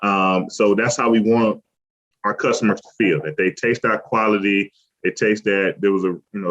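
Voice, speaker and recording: A man who owns a restaurant, talking in a relaxed, rambling way with lots of fillers as an interview guest, from Cleveland. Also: lowish voice. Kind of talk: casual interview talk. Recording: lo-fi muffled audio.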